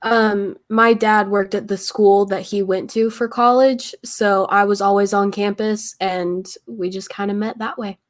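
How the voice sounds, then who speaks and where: A woman's voice: mid-range voice, level tone, a little slow, hardly any pauses. A woman in her twenties from the United States, a college student and podcast guest who chats lightly and laughs easily. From Missouri, United States. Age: 20s. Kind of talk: casual interview chat.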